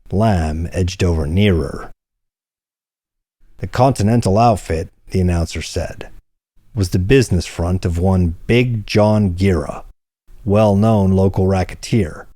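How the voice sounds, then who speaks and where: A man reading hardboiled crime fiction as an audiobook narrator, in a measured, deliberate storytelling manner. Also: low voice, dark tone, no echo, clean studio sound.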